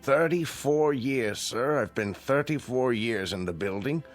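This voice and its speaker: An actor playing a humble older night janitor in a radio drama, polite, deferential and a little hesitant. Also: male voice, mid-range voice, animated, measured pace, faint music behind, natural voice. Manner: deferential, hesitant, plain-spoken.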